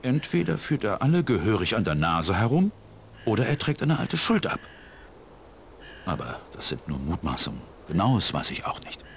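Deep-voiced, expressive old village pastor. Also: male voice, moderate pace, gravelly, slight background noise, heavily acted. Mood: measured, thoughtful. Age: older. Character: kindly old village pastor, measured and genial. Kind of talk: scripted adventure game dialogue.

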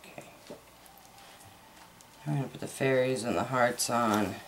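A middle-aged woman chatting casually through a craft demonstration, low-key and half muttering to herself, recorded in a noisy room at home. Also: steady tone, a little slow. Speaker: soap maker and host. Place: indoors at a home work table. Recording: noisy room, household background noise.

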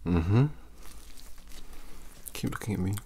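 Very deep, hushed man doing ASMR. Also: creaky. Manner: gentle, hushed, unhurried bedside manner. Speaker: ASMR performer playing a doctor. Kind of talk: ASMR roleplay, soft-spoken and whispered. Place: small quiet room indoors. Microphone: close mic, very near the mouth. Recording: noisy close-up recording with mouth clicks.

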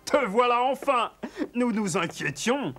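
A brisk cartoon dwarf speaks in a high, lively-pitched voice. He sounds older and reassuring. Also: over music, full character voice. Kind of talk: animated cartoon dubbing.

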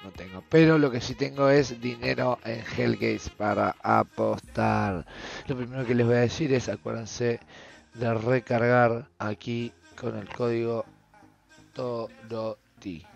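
A young adult man with an Argentine accent and a hoarse voice, commenting casually and slangily as he streams. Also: medium pitch, steady tone, a little slow, highs cut off, soft background music. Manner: slangy, offhand. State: hoarse from shouting. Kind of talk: casual gaming video commentary. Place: small room at home, indoors.

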